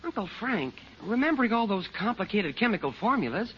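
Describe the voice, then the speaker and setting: A man's voice: high voice, sing-song, brisk. A male actor playing an eager, skeptical teenage boy with slangy, boyish talk in an old-time radio serial. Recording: muffled, faintly noisy old radio recording.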